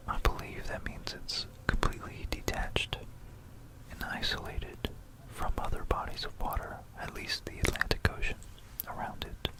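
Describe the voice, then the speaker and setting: A whispered voice delivers an ASMR history talk at a very unhurried pace. The delivery is informal and musing, with self-corrections along the way. It is captured close on a binaural microphone. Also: low-quality recording. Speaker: ASMR video creator. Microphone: close stereo binaural microphone.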